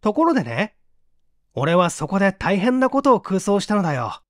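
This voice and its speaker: A man's voice: high voice, sing-song, moderate pace, dry recording, studio quality. A man voicing a smug, teasing amateur detective who boastfully explains his tricks in a mystery audiobook. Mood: musing, excited. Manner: taunting, self-satisfied, explanatory. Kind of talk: dramatic audiobook reading of a mystery story.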